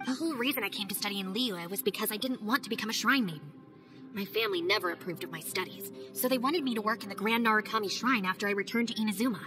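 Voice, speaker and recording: A woman voicing a calm, dutiful young female ninja in a video game, speaking in a measured way. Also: medium pitch, over music, lightly acted.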